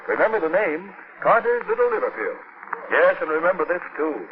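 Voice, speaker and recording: A man's voice: on the high side, big pitch swings, brisk. A man reading a sponsor commercial in emphatic, salesmanlike 1940s radio patter. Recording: noisy, tinny old radio recording.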